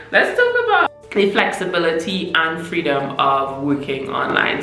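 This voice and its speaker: A woman's voice: lowish voice, fairly bright tone, roomy sound, poor audio. A young woman with a Caribbean accent that mixes Trinidadian and Barbadian, talking to camera in a chatty, candid vlog. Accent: Caribbean mix of Trinidadian and Barbadian. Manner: chatty, candid, self-deprecating. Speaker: vlogger. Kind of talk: casual talking-head vlog. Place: room in Mexico City.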